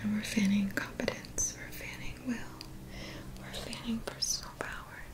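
A woman in her thirties whispers gentle, soothing affirmations as an ASMR energy healer, in a soft, breathy voice close to the mic. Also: medium pitch, flat delivery. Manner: gentle, slow, deliberate phrasing with pauses. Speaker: ASMR energy healing practitioner. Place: small dim indoor room. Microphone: close mic, seated near the camera.

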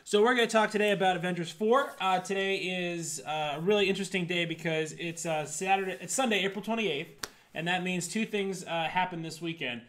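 A man's voice: high voice, brisk, fairly bright tone. A man in his thirties co-hosting a casual pop culture review with wry, self-deprecating banter. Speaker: co-host of a pop culture podcast. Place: indoor makeshift studio room.

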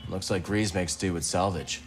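An actor playing a weary, earnest young human hero in a video game, understated and subdued. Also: male voice, deep voice, fairly even intonation, normal speed, music in the background, natural voice. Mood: musing. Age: young adult. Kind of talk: naturalistic video game acting.

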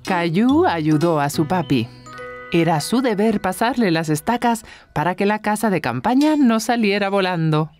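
A woman reading scripted children's story narration in an expressive storytelling manner. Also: mid-range voice, moderate pace, faint music behind.

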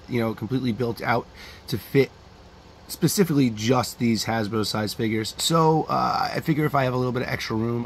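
A man in his thirties hosting a casual toy collecting vlog in a relaxed, chatty way with plenty of fillers, filmed at home on a camera held at arm's length. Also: mid-range voice. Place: home toy room, in front of green screen.

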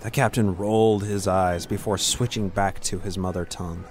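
A man's voice: low voice, steady tone, moderate pace, faint music behind. A man narrating a scripted fantasy story.

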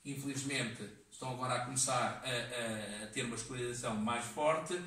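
A man in his fifties with a European Portuguese accent, giving a halting classroom lecture full of fillers, recorded from across the room. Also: medium pitch, fairly even intonation, bright, forceful tone, slight background noise. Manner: halting, many fillers and restarts. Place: community classroom with seated audience. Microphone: far camera in the room. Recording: echoey room, voice from a distance.

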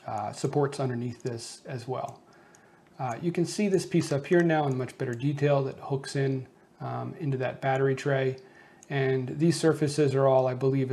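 A man's voice: mid-range voice, dark tone, breathy edge. A man hosting a hobby making show, explaining things in a casual, conversational, unscripted way. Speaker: host of a 3D printing show. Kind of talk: casual hands-on explainer of a homemade design.

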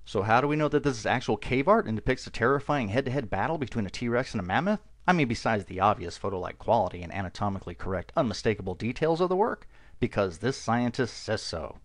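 A man's voice: mid-range voice, expressive, fast talker. A man narrating a video voice-over with dry, mocking sarcasm.

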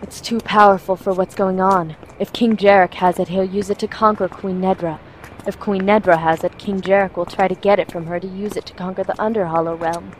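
Woman playing insistent fantasy mentor, medium pitch. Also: steady tone, fairly quick, a little hiss or hum, natural voice, low-quality recording. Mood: earnest, explaining. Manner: earnest, insistent. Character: headstrong, short-tempered ageless fantasy woman, a mentor. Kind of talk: fantasy audio drama acting.

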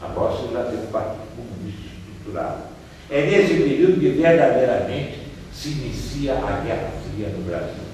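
An older Brazilian man giving a seated, conversational history lecture, pausing at times to search for names. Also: mid-range voice, animated, mellow, recorded from a distance, a little hiss or hum. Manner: conversational, gestures as he talks, trails off searching for names. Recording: echoey room, voice from off-mic.

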